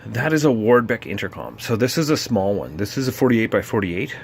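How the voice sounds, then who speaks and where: A man giving a casual, unscripted technical walkthrough, halting and thinking aloud as he explains. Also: on the low side, sing-song, gravelly, slight background noise. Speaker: presenter explaining vintage broadcast gear.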